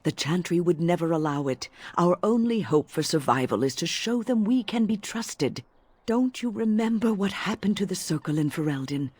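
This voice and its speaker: An actress playing an older, genteel female mage in a fantasy game, speaking formally and with measured kindness. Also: on the low side, lively intonation, a little mellow, husky, no room sound. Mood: stern warning. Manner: formal, measured, kindly diction. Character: elderly genteel female mage, wise and cautious. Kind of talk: fantasy game dialogue acting.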